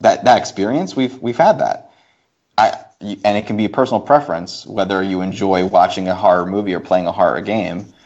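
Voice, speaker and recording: Very deep-voiced man talking casually. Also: brisk, darkish tone. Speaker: podcast guest. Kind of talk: casual opinionated discussion. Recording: dull lo-fi podcast audio.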